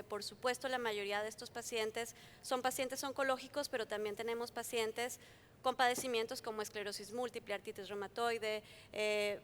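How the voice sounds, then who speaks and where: A woman's voice: on the high side, sharp tone, slight background noise. Colombian woman with a Mexican-tinged accent. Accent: Colombian Spanish mixed with Mexican. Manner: earnest, fluent, repeats words when restarting. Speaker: panelist, company founder. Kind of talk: panel talk at a forum. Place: conference hall.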